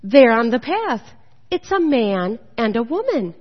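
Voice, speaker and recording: Female reader as a tiny, eager bird in a Christmas fable, high and animated, boomy hall sound. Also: slow, vocal fry, breathy edge, exaggerated voice. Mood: alert. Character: small, eager, polite wren in a Christmas fable. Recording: dull, echoey hall recording.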